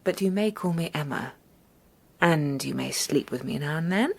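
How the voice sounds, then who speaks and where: A woman acting in a radio drama as a bold, self-possessed English schoolteacher who speaks frankly and briskly. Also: sits low, lively intonation, creaky, husky, exaggerated voice.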